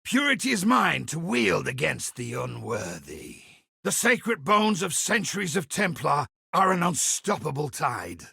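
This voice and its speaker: A man voicing a zealous, wry templar priest in a video game, with a gravelly, raspy voice and a preachy, theatrical delivery. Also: fairly high pitch, very animated, measured pace, pushed and bright, no room sound, studio quality, exaggerated voice. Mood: resolute, zealous. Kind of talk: video game character voice acting.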